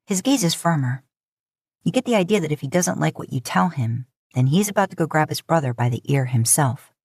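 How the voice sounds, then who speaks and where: A woman's voice: sits low, expressive, a little fast, darkish tone, slightly rough, no room sound. A woman reading scripted fiction as an audiobook narrator.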